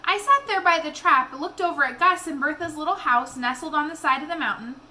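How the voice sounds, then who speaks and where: A woman reading a children's novel aloud in an expressive storytelling style. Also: sits high, brisk, slight background noise.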